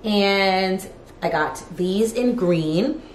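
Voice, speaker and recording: A woman's voice: mid-range voice, very slow. A woman in her thirties chatting casually to camera as a lifestyle vlogger, informal and peppered with "like", recorded in a living room. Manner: chatty, informal, frequent "like" as filler. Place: living room of an apartment, indoors. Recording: roomy echo, clear background noise.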